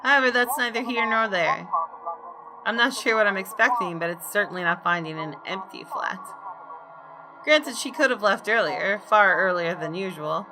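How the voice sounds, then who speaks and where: A woman reading visual novel story text aloud in a measured, expressive, deliberate way. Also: medium pitch, music in the background. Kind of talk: dramatic narration of story text. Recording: clearly noisy background.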